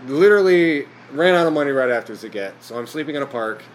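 A man chatting as a podcast guest, rambling with lots of fillers and quick laughs. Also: mid-range voice, lively intonation, slight background noise, rough recording. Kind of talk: casual chat.

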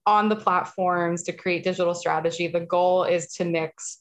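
A calm woman speaks as a guest in a casual interview. Her voice sits a bit low, and she keeps an even, steady delivery that she calls monotone herself. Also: moderate pace. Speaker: guest, marketing specialist.

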